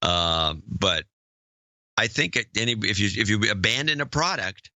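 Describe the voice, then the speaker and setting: A man co-hosting a podcast, musing in a halting, gloomy way. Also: lowish voice, very animated, bright, forceful tone, no echo. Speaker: podcast co-host. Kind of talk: casual opinionated chat.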